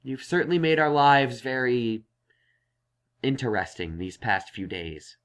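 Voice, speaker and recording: A man's voice: mid-range voice, unhurried, fairly bright tone, close to an everyday voice. A man voicing a thoughtful, reassuring young male unicorn scholar in an audio story, explaining things earnestly. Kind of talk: acted audio story dialogue.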